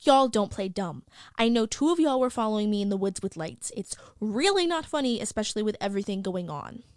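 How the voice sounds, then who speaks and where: A woman's voice: mid-range voice, expressive, brisk, no room sound, studio quality, natural voice. Woman acting as an indignant, rattled teenage girl. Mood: defensive. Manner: indignant, insistent, slangy. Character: defensive, rattled teenage schoolgirl in a group chat. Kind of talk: acted reading of chat messages.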